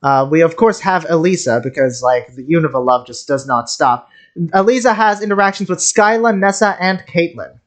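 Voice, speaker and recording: A host talking casually and a little haltingly, reacting to a video game as commentary. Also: medium pitch, expressive. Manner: halting, hesitant, giggly.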